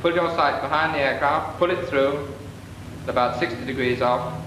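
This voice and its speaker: A man's voice: on the high side, moderate pace, close to an everyday voice. A man playing a businesslike wartime gunnery instructor, giving clipped, methodical instructions in a 1940s training film. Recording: echoey, very noisy 1940s film soundtrack.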